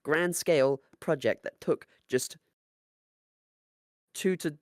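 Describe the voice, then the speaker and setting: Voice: mid-range voice, slow, dry recording, clean studio sound. A giggly, self-mocking podcast co-host who swears casually while chatting.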